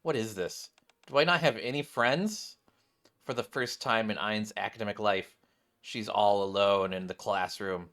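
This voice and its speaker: A young man doing casual game commentary, reading on-screen text aloud and thinking out loud. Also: lowish voice, unhurried. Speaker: gaming streamer.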